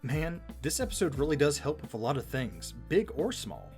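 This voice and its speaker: Lively, casual co-host with music underneath. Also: medium pitch, normal speed. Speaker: video co-host. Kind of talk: conversational video commentary.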